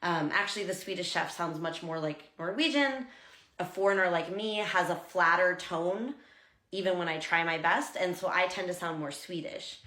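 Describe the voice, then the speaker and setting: A woman's voice: on the low side, normal speed, sharp tone, roomy sound. A chatty American woman and language teacher, explaining things casually and exaggerating sounds to demonstrate them. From United States. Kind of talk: casual talking-head explainer.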